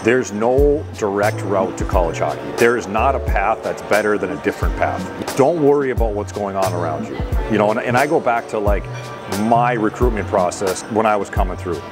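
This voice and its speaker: Earnest hockey coach guy, kinda low voice, talking in an interview, music going behind him. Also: lively intonation, moderate pace. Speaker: college hockey head coach. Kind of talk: conversational interview answers. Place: indoor room at a table by a window.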